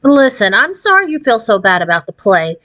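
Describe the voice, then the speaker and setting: A woman's voice: fairly high pitch, sing-song, fairly quick, fairly bright tone. A woman voicing a concerned, easily startled father of a young girl in a children's storybook, speaking earnestly. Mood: concerned, alarmed.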